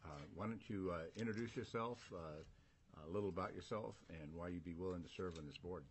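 An American man chairing a government committee meeting, speaking informally in a halting, folksy and courteous way. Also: low voice. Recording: noticeable background room noise.

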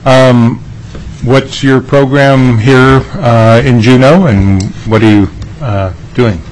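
A man's voice: medium pitch, fairly even intonation, a little mellow, slight background noise, poor audio. Folksy man chairing a committee hearing. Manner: folksy, halting, with fillers. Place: legislative committee room.

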